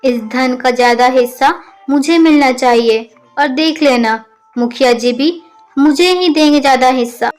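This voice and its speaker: A woman's voice: high voice, measured pace, a little mellow, faint music behind. A woman voicing a devout, idle and stubborn village man in a children's cartoon story, pious and insistent.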